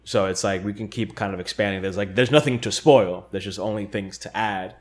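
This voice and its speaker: A man's voice: fairly low pitch, a little fast. A man co-hosting a casual fan discussion, talking in an informal, conversational way. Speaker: podcast co-host.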